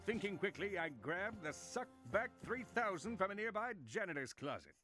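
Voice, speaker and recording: A pompous, bragging superhero for a game. He is fairly high and lively in pitch, telling tales dramatically over clear music. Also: normal speed. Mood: boastful. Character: pompous, boastful male superhero. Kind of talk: video game cutscene acting.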